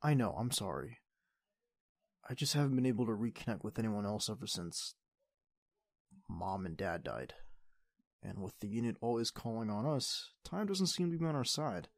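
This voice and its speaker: A man's voice: on the low side, sing-song, normal speed, gravelly, long pauses, no echo, exaggerated voice. Affectionate young boyfriend, acted by a man, close and dry. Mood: sincere, casual. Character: affectionate teenage boyfriend, playful and tender. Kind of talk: ASMR boyfriend roleplay acting.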